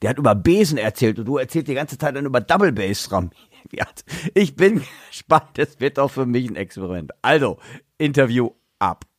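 A man's voice: mid-range voice, expressive, fairly quick, dry recording, studio quality. A man hosting a casual podcast interview, chatty and loose, with many fillers and restarts. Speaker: podcast host and drummer.

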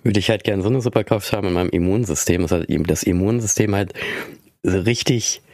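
A hoarse man co-hosting a podcast in casual chat, clearing his throat and using many fillers. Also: low voice, fast, a little mellow, dry recording, studio quality. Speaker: podcast co-host.

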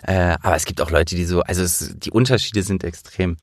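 A man's voice: low voice, fairly quick, dry recording, studio quality. A man hosting a casual interview, talking in a rambling, storytelling way.

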